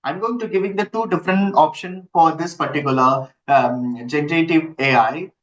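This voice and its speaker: A man giving a live online lecture, halting and repeating himself as he checks in with listeners, using his computer's built-in mic. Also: a little high. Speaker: instructor. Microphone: built-in computer mic, no headset. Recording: dull lo-fi computer audio.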